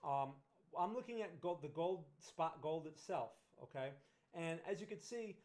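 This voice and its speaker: A man's voice: a little high, animated, normal speed, darkish tone. A middle-aged man from Northern New Jersey with a New Jersey accent, hosting a casual livestream about trading and often checking in with "right?". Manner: conversational, frequent "right?" tag questions and restarts. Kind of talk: casual livestream market analysis.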